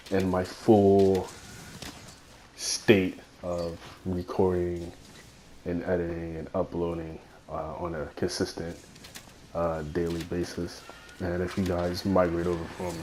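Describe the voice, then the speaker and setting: Raspy deep-voiced young man with a cold. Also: darkish tone, slight background noise. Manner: rambling, lots of fillers and swearing. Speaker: gaming YouTuber. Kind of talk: casual gameplay commentary. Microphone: phone headphone mic.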